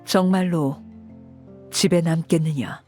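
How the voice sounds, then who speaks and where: An actress playing a stern woman leader, speaking in a measured, formal, authoritative way in a dramatic game scene. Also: deep voice, steady tone, a little mellow. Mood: stern, resolute. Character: stern, commanding woman leader of an orphanage, called Father. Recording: music under the voice.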